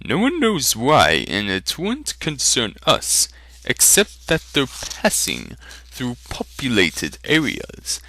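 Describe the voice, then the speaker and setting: A man voicing a grim traveling merchant in a storybook, gravely delivering ominous news. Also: medium pitch, sharp tone, dry recording, full character voice.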